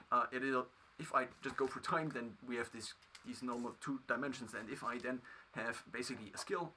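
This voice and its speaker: Bright-voiced German-accented man musing aloud. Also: mid-range voice, slight background noise, low-quality recording. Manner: halting, many restarts and fillers. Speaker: video creator. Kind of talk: reflective solo monologue.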